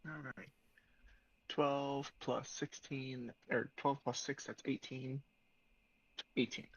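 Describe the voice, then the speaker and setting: Even-toned male tabletop player. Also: medium pitch, slow pace, no echo, dull audio. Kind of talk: casual roleplay, describing actions.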